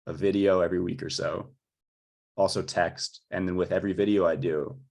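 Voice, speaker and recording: Deep raspy guy chatting casually, sort of thinking out loud, bit tentative. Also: steady tone, fairly quick. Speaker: composer. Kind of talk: informal chat about own work.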